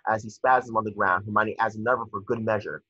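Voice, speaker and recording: Husky guy reading out script action lines, bright forceful voice, dull call audio. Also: lowish voice, fairly even intonation, fast talker, no room sound. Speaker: narrator. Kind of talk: scripted narration of screenplay stage directions. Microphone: video call.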